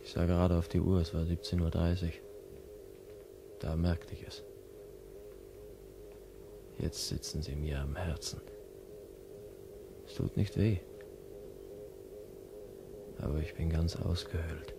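A fading, exhausted young man; deep, gravelly, very slow; old radio play with tape hiss. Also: little pitch movement, heavily acted. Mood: dying. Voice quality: weary, fading, tender. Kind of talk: 1950s radio play acting. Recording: hissy 1951 radio drama recording.

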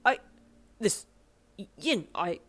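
A woman's voice: medium pitch, very animated, extremely slow, fairly bright tone, husky, voice pushed up, exaggerated voice. A woman voicing a stiff, formal young man with fussy, proper diction in a storybook reading. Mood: flustered. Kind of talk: audiobook character voice.

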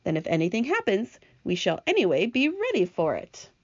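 A woman's voice: mid-range voice, wildly swooping pitch, brisk, lo-fi sound. A woman voicing a kindly, prudent old male grasshopper in a storybook, with an avuncular, emphatic manner. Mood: cautious.

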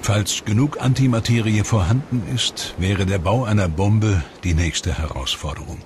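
A man narrating a science documentary in a calm, measured, scripted delivery. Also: lowish voice, background noise. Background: music.

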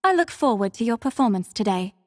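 An actress playing a devoted, composed young female warrior in a video game, speaking with formal, measured, soft courtesy. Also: on the high side, big pitch swings, dry recording, clean studio sound, in a large hall. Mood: gentle, attentive. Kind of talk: scripted mobile game character lines.

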